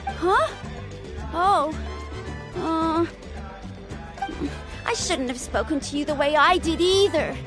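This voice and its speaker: A very high, anxious child. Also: female voice, animated, takes it slowly, long pauses, exaggerated voice. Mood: apologetic. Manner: hesitant, earnest. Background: game music.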